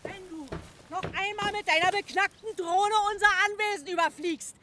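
Furious, shrill village woman. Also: normal speed, noisy background. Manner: shrill, menacing, shouting threats. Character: furious, threatening village woman defending her property. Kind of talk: radio drama acting.

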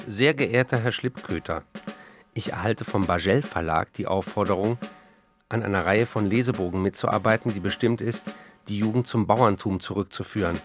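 Actor as a low-voiced, embattled 1930s German writer. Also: male voice, moderate pace, soft background music, close to an everyday voice. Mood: formal, guarded. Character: embattled male German novelist of the 1930s, wry, bitter. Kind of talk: radio play, reading letters and diary.

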